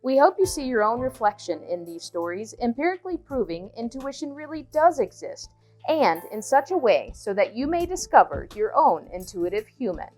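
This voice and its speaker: A woman hosting an interview, reading a scripted intro and asking gentle questions with soft, encouraging acknowledgements. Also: a little high, sing-song, moderate pace, faint music behind. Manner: soft, encouraging listener with many small acknowledgements. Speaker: podcast host and interviewer.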